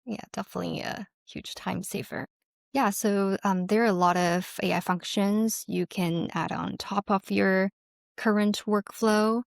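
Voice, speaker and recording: Creaky-voiced woman explaining a product. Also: mid-range voice, steady tone, measured pace, no room sound, studio quality. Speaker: company representative, interview guest.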